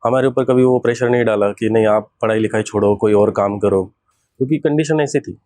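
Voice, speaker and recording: A man's voice: lowish voice, fairly quick, darkish tone, dry recording. A man, earnestly telling his own story as an interview guest, mixing in English words. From rural Maharashtra, India. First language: Marathi. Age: 30s.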